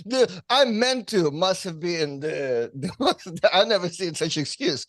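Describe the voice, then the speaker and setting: A man whose first language isn't English, co-hosting and producing a casual podcast. He tells stories loosely, chuckling along, in a high voice that sounds dry and close. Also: lively intonation, slow, a bit bright, dry recording. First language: not English.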